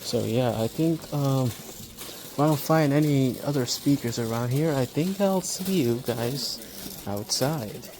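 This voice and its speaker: Man vlogging casually over store noise. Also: mid-range voice. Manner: halting, absorbed enthusiast's narration. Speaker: amateur vlogger. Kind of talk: casual walk-through vlog commentary. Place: large home improvement store, indoors. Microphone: handheld phone while walking. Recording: store ambience, noticeable background noise.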